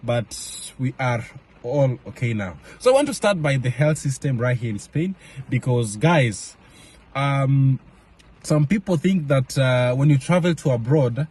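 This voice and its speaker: A young man from Kenya talking casually to camera in a vlog, halting with many fillers and restarts. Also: mid-range voice, a little mellow, slightly rough. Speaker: vlogger. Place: home in Madrid area.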